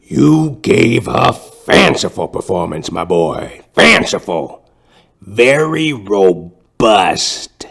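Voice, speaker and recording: A man's voice: sits low, very animated, gravelly, fairly bright tone, exaggerated voice. Man putting on a grandiose, drawling old circus-clown woman. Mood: cajoling, lustful. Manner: grandiose, drawn-out, cajoling delivery. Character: theatrical old woman, retired circus clown turned drama teacher. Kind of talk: comic audio play acting.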